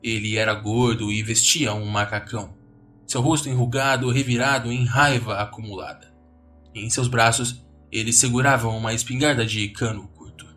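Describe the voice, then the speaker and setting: A man's voice: medium pitch, fairly even intonation, moderate pace, a bit bright. A man with a Brazilian accent giving a dramatic scripted narration of a horror story. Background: music.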